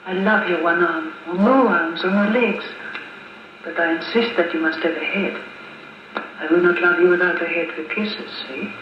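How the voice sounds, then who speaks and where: An actress playing a playful, adoring foreign sweetheart who speaks deliberately and coquettishly in a 1950s radio drama, on an old wire recording. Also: female voice, on the low side, lightly acted. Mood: teasing, tender. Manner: coquettish, deliberate uncontracted phrasing. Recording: muffled, noisy, echoey 1950s wire recording.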